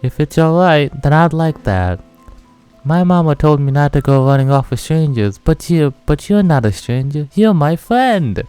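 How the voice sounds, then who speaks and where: A man voicing a pouty, whiny little girl of about six in a visual novel, reading her lines in a high childish whine. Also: animated, normal speed, warm tone, clear, resonant tone, no echo, soft background music, close to an everyday voice.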